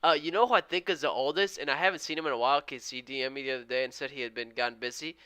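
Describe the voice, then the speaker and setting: A young man in his twenties hosts a live trading stream, with a bright, forceful tone and a crisp, smooth voice. He is upbeat and friendly, drops casual slang, and keeps thanking viewers. The sound is dry and close, with no room around it. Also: medium pitch, racing, no echo. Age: 20s. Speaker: live-stream day trader.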